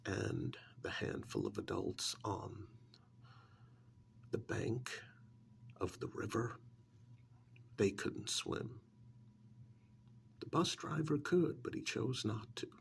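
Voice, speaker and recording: A man with a fairly low, creaky voice narrates softly in ASMR style. He goes very slowly, in short halting phrases that often fade into whisper. He is close to the microphone, with faint noise behind him. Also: huge pitch swings. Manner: hushed, often dropping into whisper; halting, deliberate phrasing with long pauses. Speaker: ASMR role-play performer. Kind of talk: soft-spoken ASMR storytelling.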